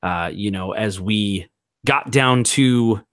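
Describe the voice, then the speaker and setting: A man playing a roleplaying game, improvising his story in a halting, deliberate way as he thinks aloud. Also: on the low side, fairly bright tone, no echo, clean studio sound.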